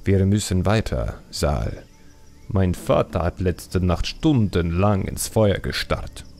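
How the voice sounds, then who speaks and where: A man's voice: low-pitched, animated, slow pace, darkish tone, full character voice. A man voicing an earnest, brooding young male troll who wants to be a shadow hunter, grave and resolute, in a fantasy audiobook. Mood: grave, determined.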